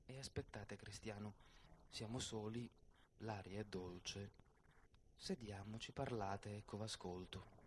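A man playing a refined young woman on stage, expectant and demanding of romance, soft and coaxing. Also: on the low side, fairly even intonation, slow, background noise, pitched below the performer's own voice. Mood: tender, expectant. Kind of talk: stage monologue theatre.

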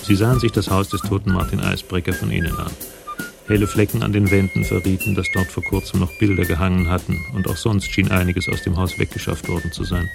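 A male narrator of a radio crime drama. His voice is very low with a gravelly creak, telling the detective story in scripted prose over music. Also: steady tone, quick pace. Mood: measured storytelling. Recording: music behind the voice.

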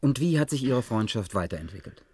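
Polite, quick-talking male interviewer. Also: medium pitch, lively intonation, darkish tone, breathy edge, no room sound, clean studio sound. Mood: inquiring. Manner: deferential, inquiring, tentative. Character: polite, earnest man interviewing a bunker survivor. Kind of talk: German radio play acting.

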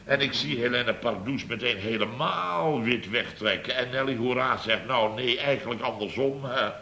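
A man's voice: mid-range voice, moderate pace, sharp tone, a little hiss or hum. An actor playing a blustering, exasperated boss of a building firm in a radio comedy, exclaiming and repeating himself as he tells his tales. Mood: indignant storytelling. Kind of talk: Dutch radio comedy acting, anecdote telling.

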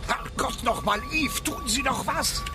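An actor playing a gruff, defiant male leader of a spaceship venture in a radio drama. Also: extremely high pitch, a little slow, loud music behind the voice. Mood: exasperated. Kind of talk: German radio drama acting.

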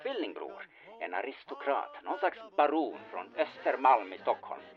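Swooping, sardonic fixer, dull sound. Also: male voice, mid-range voice, wildly swooping pitch. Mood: conspiratorial, wry. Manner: insistent, sardonic, conspiratorial. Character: bossy, wry, scheming small-time fixer and smuggler. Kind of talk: audio drama acting.

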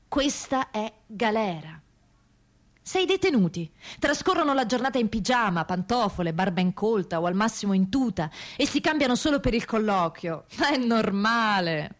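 A woman narrating a scripted radio theatre piece in a deliberate, emphatic way, with weighted pauses. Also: a little high.

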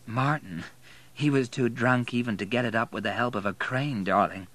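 A man's voice: medium pitch, moderate pace, a bit bright, no echo, voice pushed up, close to an everyday voice. A man voicing a brash young female prostitute, teasing and blunt, in an audiobook. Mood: offhand, mocking.

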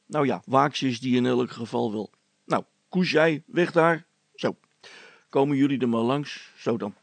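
A man's voice: medium pitch, unhurried, vocal fry, dry recording. A man voicing a good-natured, proud village policeman with a folksy, rambling, hesitant way of talking in a comic story. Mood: jovial. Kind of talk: character voice in a read-aloud comic story.

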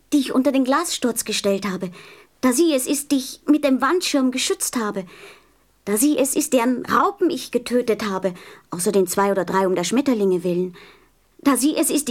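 Earnest, innocent little prince. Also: female voice, fairly high pitch. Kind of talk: radio play acting.

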